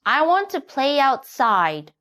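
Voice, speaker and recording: A woman voicing Little Donkey, a spoiled and stubborn little boy donkey, in a high, whiny, drawn-out voice. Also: very animated, a bit bright, heavily acted. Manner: whiny, slow and pleading.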